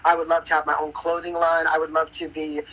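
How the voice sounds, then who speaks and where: A woman singer with a raspy voice from resting it, talking in a casual, upbeat way with lots of fillers as an interview guest. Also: sits low, completely flat delivery, quick pace, a bit bright, rough recording. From New York. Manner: upbeat, rambling with many fillers. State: strained voice on vocal rest. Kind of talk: casual interview on a live call. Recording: bad connection on a live stream.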